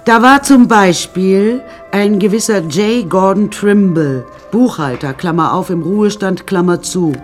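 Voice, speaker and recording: A woman's voice: mid-range voice, measured pace, hardly any pauses, faint music behind, lightly acted. An actress playing a flamboyant, unsinkable woman who narrates her life in a radio play in a wry, chatty, theatrical way. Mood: gossipy, amused.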